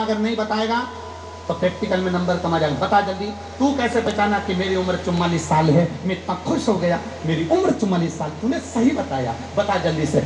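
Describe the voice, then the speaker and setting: A man's voice: reverberant, noisy background. A man giving a devotional sermon, telling stories and acting out their dialogue with emphatic rises. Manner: storytelling, acting out dialogue, rising emphatic pitch. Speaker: kathavachak preacher. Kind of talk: devotional katha sermon with anecdotes.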